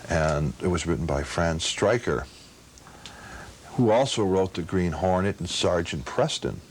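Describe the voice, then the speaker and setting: Deep-voiced man in casual interview. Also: lively intonation, unhurried, husky. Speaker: old-time radio enthusiast interviewee. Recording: faint background noise.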